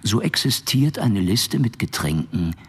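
Very deep, solemn documentary narrator. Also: male voice, sing-song, a bit bright, breathy edge, heavily acted. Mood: solemn, formal. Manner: formal, measured. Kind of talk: scripted documentary-style narration in German radio play.

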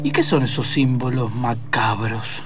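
A man's voice: medium pitch, a little slow, over loud music, voice pushed down, lightly acted. A man with an Argentine accent playing a nervy, earnest investigator who repeats himself in improvised radio theatre. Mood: astonished.

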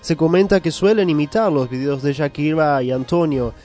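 A man's voice: a little high, normal speed, dark tone, faint music behind, close to an everyday voice. A man playing a pompous, fussy foreign correspondent in a parody news interview, mock-formal and dropping English words into Spanish.

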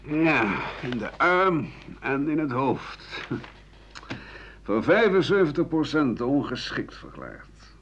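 Husky, embittered aging doctor. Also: male voice, mid-range voice, very animated, takes it slowly, fairly bright tone, heavily acted. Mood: weary, complaining. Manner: rambling, sardonic, chuckling at his own memories. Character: embittered aging French doctor and war veteran reminiscing. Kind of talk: radio drama monologue. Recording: dull 1980 radio play recording.

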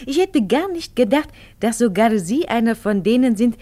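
A woman acting in a 1950s German radio play, playing a cool, self-possessed, gently mocking spy who speaks with foreign-sounding inverted word order. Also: a little high, lively intonation, normal speed, lightly acted. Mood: amused, mocking.

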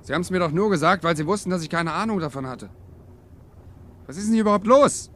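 A man's voice: high voice, fast talker, a bit bright. An actor playing an earnest, wary young man, a captain's son, in a 1950s radio drama. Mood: shaken, indignant. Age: young adult. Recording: hissy 1950s radio recording.

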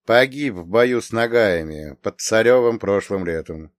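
Young nobleman read by a male narrator: wry and self-assured, emphatic animated lines, close dry audiobook sound. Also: fairly low pitch, a little slow, no room sound. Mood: matter-of-fact. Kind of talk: acted audiobook dialogue.

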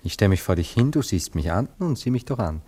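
Low-voiced, lovesick poet. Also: male voice, sing-song, brisk, mellow, breathy edge. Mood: soothing, commanding. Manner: theatrical, tender, wavering between commanding and evasive. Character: lovesick, anxious poet and amateur hypnotist, male lover. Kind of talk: classic German radio play acting.

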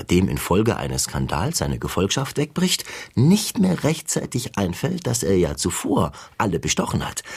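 An actor playing a wordy, mock-polite, sceptical interviewer in a satirical radio sketch. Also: male voice, low voice, creaky, slightly rough, heavily acted. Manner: wordy, circumlocutory. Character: sceptical, long-winded male interviewer, mock-polite and probing.

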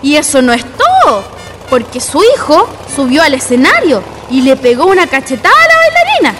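An actress playing an excitable, gossipy Chilean woman in a radio drama, telling tales in colloquial speech. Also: high-pitched, moderate pace, exaggerated voice. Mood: excited gossip. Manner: animated, colloquial Chilean storytelling. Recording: music behind the voice.

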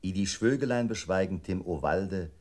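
Man as a low, deliberate declaiming machine. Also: a little mellow, close to an everyday voice. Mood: deliberate, formal. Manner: deliberate, formal articulation of tongue-twisting nonsense. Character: computer memory voice declaiming distorted poetry. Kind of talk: 1960s German radio play recitation.

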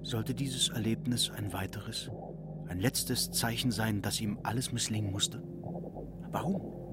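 Casting: male narrator for literary audio drama, very low voice, music underneath. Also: measured pace. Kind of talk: literary radio play narration.

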